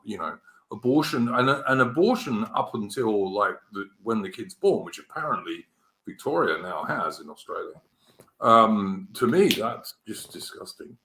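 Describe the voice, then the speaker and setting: An English man in his fifties hosting a casual political chat, halting, with many fillers and restarts. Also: medium pitch, pushed and bright, roomy sound. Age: 50s. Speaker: podcast host.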